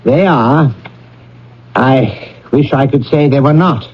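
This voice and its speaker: An actor playing a courteous, earnest gentleman collecting for charity in a classic radio drama, speaking with formal, solemn politeness. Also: mid-range voice, takes it slowly, breathy edge. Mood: earnest appeal. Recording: dull lo-fi old radio broadcast.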